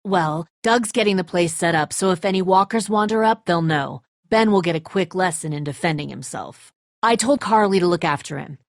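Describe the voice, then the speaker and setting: A woman's voice: lowish voice, moderate pace, no room sound, clean studio sound, lightly acted. A woman playing a tough, bitter, defensive apocalypse survivor in a dramatic video game, speaking in a terse, confrontational way. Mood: firm, practical.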